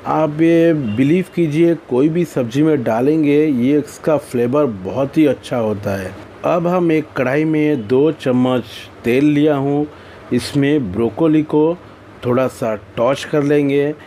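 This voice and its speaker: A man's voice: medium pitch, warm tone, a little hiss or hum. A man with an Indian accent narrates a recipe tutorial as a cooking host, in a plain, instructional way with repeated phrasing.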